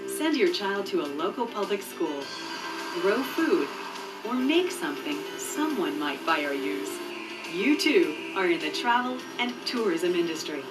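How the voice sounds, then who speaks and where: A woman narrating a scripted promotional video in a clear, measured, informative way. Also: fairly low pitch, very animated. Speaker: voice-over narrator. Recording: muffled distant VHS tape dub with music. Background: background music.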